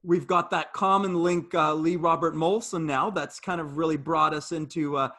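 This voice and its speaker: A middle-aged man hosts a casual talk in an earnest, reflective way. His voice sits high for a man, and he often circles back over his words. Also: fairly even intonation, moderate pace, bright, forceful tone. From Jamaica, raised in Canada. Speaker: fitness trainer and host. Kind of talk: casual conversational interview.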